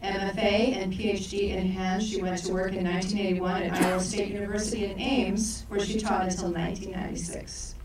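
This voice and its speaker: A woman's voice: medium pitch, little pitch movement, fairly quick, few pauses, a little mellow, slight background noise. A woman co-director opening a live event, reading speaker introductions with stumbles and self-corrections. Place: large echoey event hall. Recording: echoey hall from far off.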